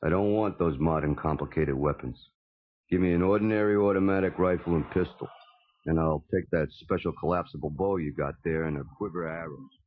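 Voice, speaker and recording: A man's voice: low voice, a little fast, natural voice. A man playing a terse, sardonic American commando hero in a children's audio story. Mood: gruff, sardonic. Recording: dull, noisy vintage cassette.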